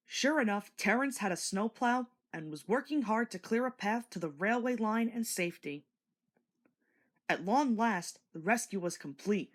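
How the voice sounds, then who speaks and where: A woman reads a children's storybook as narrator. Her delivery is expressive and animated, with widely varied pitch around an ordinary middle register.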